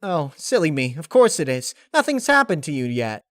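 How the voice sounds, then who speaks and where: A man voicing an excitable, gushing young female cartoon pony in a story reading. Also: a little high, moderate pace, no room sound, studio quality, voice pushed up. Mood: gushing, emotional. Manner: gushing, bubbly, exclamatory.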